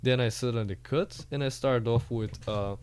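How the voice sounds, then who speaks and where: A man's voice: medium pitch, moderate pace, a little mellow, clean tone. A Bulgarian man speaking English with a Bulgarian accent as a podcast host, talking in a casual, hesitant way full of "uh" fillers.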